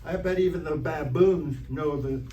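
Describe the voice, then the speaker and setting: An actor playing a dim, earnest, slangy teenage schoolboy in old-time radio comedy, slow-witted, halting and folksy. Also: male voice, fairly high pitch, warm tone, distant mic, natural voice. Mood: earnest, muddled. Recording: roomy stage recording from afar, hiss.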